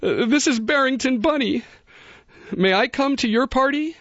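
A man voicing a small, lonely young male bunny who talks in a high, pleading, sobbing way. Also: moderate pace, a bit bright, dry recording, muffled recording. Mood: tearful.

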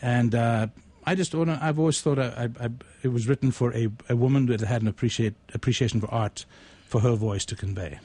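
A man's voice: mid-range voice, brisk, hardly any pauses, warm tone, husky. A man who is a poet and co-host, talking and reciting in a reflective, theatrical manner with florid wording. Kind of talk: casual chat and recited poetry.

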